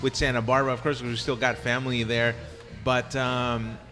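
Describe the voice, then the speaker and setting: Young male podcast host from Southern California, easygoing and rambling, mid pitch, recorded in a cafe with faint music. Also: level tone, moderate pace. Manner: easygoing, laughs often, rambling. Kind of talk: casual interview chat.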